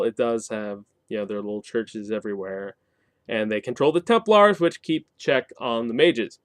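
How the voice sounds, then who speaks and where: A man talks through a review in a casual, off-the-cuff way, with a very lively, swooping pitch. He explains like a conversational host, slipping in wry asides. The sound is dry and close, with little room on it. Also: no room sound. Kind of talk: casual unscripted review commentary.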